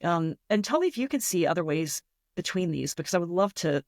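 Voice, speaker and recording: A woman's voice: lowish voice, very animated, brisk, a little mellow, no room sound, clean studio sound. A woman speaking as a guest writer in an interview, reflective and enthusiastic as she explains ideas through imagined examples. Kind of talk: thoughtful interview talk.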